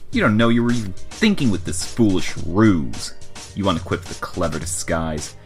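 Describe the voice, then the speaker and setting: A man's voice: low-pitched, animated, moderate pace, faint music behind. A man giving a dramatic, mock-serious scripted narration as the narrator of a comic adventure. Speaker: narrator of a fan comic dub.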